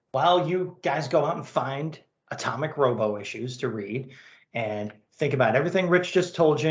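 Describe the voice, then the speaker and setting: A man hosting a podcast in casual, chatty style. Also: medium pitch. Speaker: podcast host. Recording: slightly dull, lo-fi sound.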